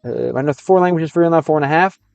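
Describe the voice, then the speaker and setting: Male streamer, fairly high, smooth voice, faint game music behind. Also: quick pace, darkish tone, no echo, highs cut off. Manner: reads chat aloud, translates game text, restarts often. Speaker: streamer learning Russian. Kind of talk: casual livestream chat with viewers.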